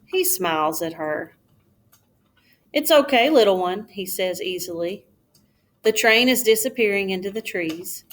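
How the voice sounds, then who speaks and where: A woman reading a children's storybook aloud like a schoolteacher, in a careful, expressive storytelling manner. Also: medium pitch, unhurried, long pauses.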